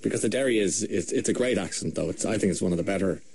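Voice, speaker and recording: A man's voice: sits low, very fast, vocal fry, darkish tone, a little hiss or hum. An Irish man hosting a radio interview in the studio, asking teasing, leading questions. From Ireland. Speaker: radio host.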